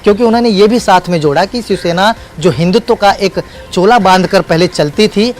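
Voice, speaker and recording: A man's voice: high-pitched, fairly quick. A man reporting live as a news correspondent, speaking unscripted in emphatic, repetitive phrases with hesitant fillers.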